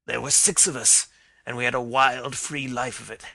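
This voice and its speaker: A man's voice: medium pitch, fairly even intonation, moderate pace, vocal fry, sharp tone, dry recording, rough recording. A man voicing a dying older man who pleads and confesses with emotion. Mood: confessing. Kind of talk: dramatized reading of a detective story.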